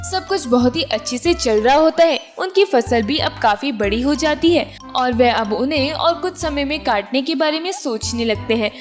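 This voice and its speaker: A woman's voice: sits high, animated, normal speed, hardly any pauses. A woman reading scripted narration for a children's moral story. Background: music.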